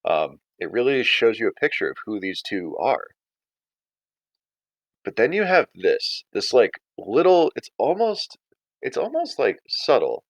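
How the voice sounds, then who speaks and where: A man's voice: medium pitch, big pitch swings, measured pace, no room sound. A man teaching a writing lesson in an informal, conversational way, thinking aloud as he explains. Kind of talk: casual writing lesson and story read-aloud.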